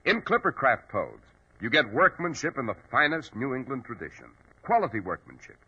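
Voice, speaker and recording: A man's voice: medium pitch, sing-song, a little fast, bright, forceful tone, slightly rough. A man reading a 1940s radio commercial as an announcer with a polished sales delivery. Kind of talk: 1940s radio advertising read. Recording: dull 1940s radio recording.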